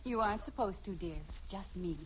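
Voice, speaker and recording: An American actress playing a brisk, self-assured, teasing wife and mother in an old radio family comedy. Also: medium pitch, natural voice. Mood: sweetly teasing. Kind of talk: mid-century radio sitcom acting. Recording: muffled, noisy old radio recording.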